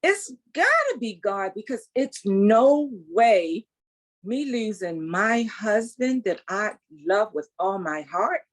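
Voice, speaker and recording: Woman, 60s, lively and emphatic storyteller, interview guest. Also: mid-range voice, takes it slowly. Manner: animated, emphatic, faith-filled storytelling. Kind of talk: casual interview, testimony.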